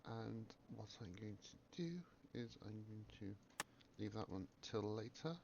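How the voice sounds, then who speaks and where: A man with a croaky voice from a cough, calmly commentating a game and thinking aloud with many pauses. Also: on the low side, dull audio, noisy background. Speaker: gaming video creator. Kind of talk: relaxed gameplay commentary.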